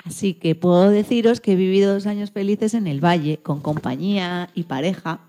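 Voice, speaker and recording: Woman presenter with a mellow voice. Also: on the low side, moderate pace. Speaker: radio programme host. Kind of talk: scripted presenting and narration.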